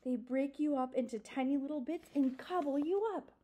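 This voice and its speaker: A woman's voice: high-pitched, normal speed, dark tone, voice pushed up, close to an everyday voice. A woman doing a stern, pompous father mouse. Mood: grave. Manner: grave, lecturing, self-important. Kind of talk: storybook reading.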